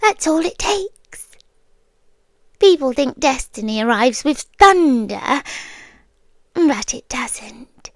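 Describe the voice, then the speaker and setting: A woman with a British accent playing a possessive, softly menacing yandere girlfriend in a hushed, intimate ASMR roleplay, close to the microphone. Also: high-pitched, lively intonation, dry recording, full character voice. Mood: reflective, menacing. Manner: hushed, often whispering, deliberate pauses.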